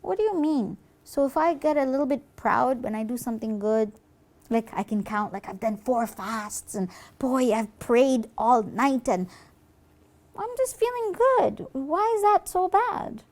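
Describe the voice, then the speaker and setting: A woman's voice: sits high, lively intonation, a little slow, darkish tone. A woman performing conversational puppet-show dialogue in an informal, protesting manner.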